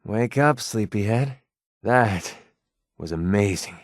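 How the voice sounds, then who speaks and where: An actor voicing a wry, prickly young mercenary sniper with a hidden soft side in a video game, sarcastic and conversational. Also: male voice, fairly low pitch, slow pace, no room sound, clean studio sound. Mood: casual. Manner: sarcastic, conversational, emotionally expressive. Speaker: video game companion character. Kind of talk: naturalistic video game voice acting.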